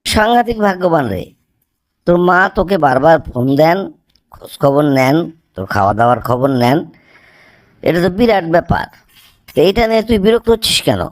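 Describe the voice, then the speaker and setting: A man's voice: a little high, big pitch swings, fairly quick, pauses often, no echo. A man playing a sensible young student friend in an amateur cartoon, earnestly admonishing. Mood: questioning.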